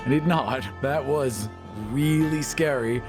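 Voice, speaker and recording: A man's voice: mid-range voice, takes it slowly, music in the background. A man talking casually as a player in a tabletop game, describing his moves. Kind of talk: casual game play talk.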